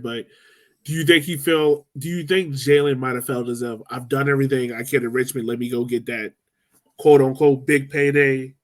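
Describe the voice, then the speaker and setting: A man co-hosting a sports podcast, talking loosely with lots of "um" and "like". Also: mid-range voice. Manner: loose and chatty, lots of "um" and "like", laughs easily. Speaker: podcast co-host. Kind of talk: casual sports chat.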